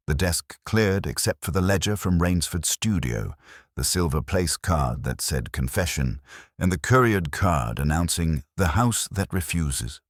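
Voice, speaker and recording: A man reading a detective story in a wry, measured storytelling manner. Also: rumbling low, no room sound, studio quality. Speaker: audiobook narrator. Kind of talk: scripted detective story narration.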